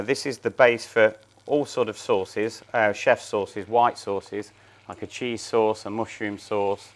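A man in his thirties gives a cooking demonstration, his voice sitting somewhat low. He explains each step plainly while he works, picked up on a clip-on mic in a large showroom kitchen. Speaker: chef.

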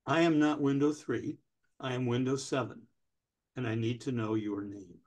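A man in his sixties playing a pedantic, obstructive older DMV clerk in a staged comedy reading, officious, deadpan and stalling. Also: mid-range voice, moderate pace, natural voice. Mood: brusque, impatient. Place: indoors, on a video call.

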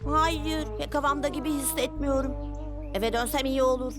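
A woman's voice: high-pitched, steady tone, normal speed, over music, close to an everyday voice. A woman voicing a cocky, grumpy little superhero girl in a cartoon dub, brash and exclamatory. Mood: uneasy, grumpy. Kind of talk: animated cartoon dub acting.

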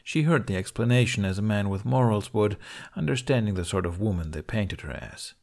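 A man's voice: fairly low pitch, animated, fairly quick, vocal fry, darkish tone. A man reading scripted fiction as an audiobook narrator.

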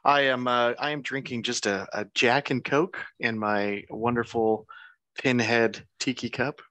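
A middle-aged man joins the show as a guest over a headset at home. His voice is bright and forceful, and he talks in halting, joking bits. The sound is dry and close. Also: mid-range voice, fairly even intonation, dry recording. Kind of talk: casual online show chat. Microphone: headset, video call.

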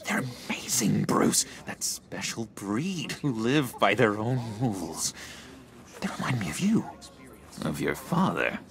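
An actor playing an eager, unhinged young schemer in a video game, halting and wheedling with sinister warmth. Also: male voice, mid-range voice, very animated, creaky, faint music behind. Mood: pleading, excited. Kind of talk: video game character acting.

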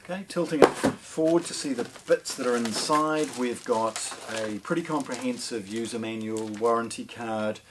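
A middle-aged man with a New Zealand accent casually presenting an unboxing, in a hesitant, improvised way with frequent pauses. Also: mid-range voice. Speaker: product presenter at an audio shop. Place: small indoor showroom with shelves and speakers. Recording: clear background noise.

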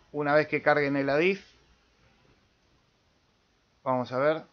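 A man with an Argentine Spanish accent giving an informal software tutorial, thinking aloud as he demonstrates. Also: a little high, lo-fi sound. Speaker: amateur radio video tutorial host.

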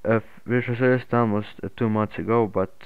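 A man narrating an unscripted software tutorial in a halting, hesitant way. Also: on the low side, steady tone, clean tone, no room sound. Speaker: tutorial host. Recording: muffled phone-like narrow-band audio.

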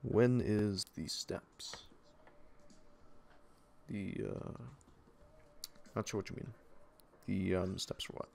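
A man streaming a game, hoarse and losing his voice, chatting casually and answering his viewers. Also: on the low side, fairly even intonation, slow pace, long pauses, darkish tone, slight background noise. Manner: reads chat aloud and answers viewers. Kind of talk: casual live-stream commentary.

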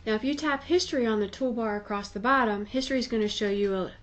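Woman narrating a software tutorial. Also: fairly high pitch, fast talker. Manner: plain-spoken, instructive, informal phrasing. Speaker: tutorial presenter.

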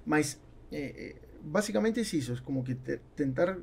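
A man in his forties, a native Spanish speaker with a South American accent, talking haltingly as a guest in an interview. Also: on the high side, animated, gravelly, a little hiss or hum. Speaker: business owner, podcast guest. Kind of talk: casual interview chat. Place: small foam-treated podcast studio. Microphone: podcast mic on boom arm.

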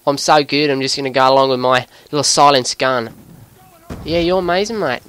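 A man putting on an Australian accent as an excitable, sweary bloke giving live video game commentary in a voice pushed higher than usual. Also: brisk, clean tone, close to an everyday voice. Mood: sarcastic, frustrated. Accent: put-on Australian. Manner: excitable, sweary, breaking into giggles at himself. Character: excitable Australian bloke gamer, jokey larrikin.

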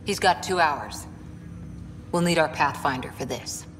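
An actress playing a pragmatic, duty-minded starship officer in a video game. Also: female voice, sits low, unhurried, fairly bright tone, a little hiss or hum. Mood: firm, concerned. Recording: reverberant room sound.

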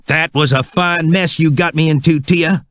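A man's voice: fairly high pitch, steady tone, moderate pace, a bit bright, dry recording, studio quality, lightly acted. A man voicing a dogged, folksy tow truck private eye with tough detective talk in a storybook. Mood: wry.

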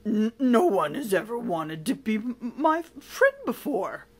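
A woman voicing a horrible monster bully in a storybook, with loud, drawn-out, theatrical exclamations. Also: mid-range voice, sing-song, vocal fry, husky, full character voice. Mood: tearful joy. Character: horrible booming monster bully, secretly lonely and soft.

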